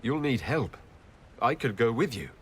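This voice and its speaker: A man playing a courteous elven leader in a video game, measured and earnest. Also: mid-range voice, expressive, a little hiss or hum, lightly acted. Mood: concerned. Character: composed, courteous male elf leader, earnest and concerned. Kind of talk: dramatic video game dialogue.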